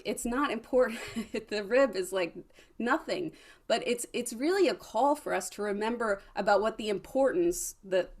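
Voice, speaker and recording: A woman speaking as an academic panelist, explaining earnestly and informally, often checking in with the listener. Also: mid-range voice. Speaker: academic panelist, religion lecturer.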